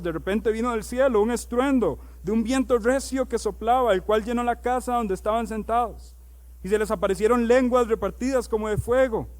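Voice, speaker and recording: A male pastor preaching a sermon. He reads scripture aloud, slows down on key words, and builds to loud, emphatic preaching. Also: extremely high pitch.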